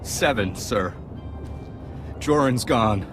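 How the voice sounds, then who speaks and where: A man's voice: mid-range voice, lively intonation, drawn-out words, music in the background. An actor voicing a loyal, grim male soldier in a sci-fi video game.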